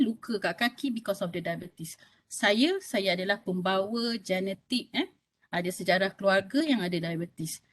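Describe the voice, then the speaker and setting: A woman in her fifties with a Malaysian Malay accent, giving a health talk to an audience in a conversational way and often checking in with "okey?". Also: mid-range voice. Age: 50s. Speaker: health talk speaker, doctor.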